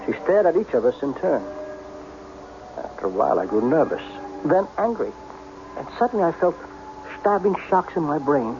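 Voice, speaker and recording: An actor playing a frantic, practical businessman in an old-time radio drama, with an agitated, halting, intense delivery. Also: on the high side, sing-song, creaky. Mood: brooding, uneasy. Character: frantic, practical businessman, magically made younger. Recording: dull, noisy old radio recording.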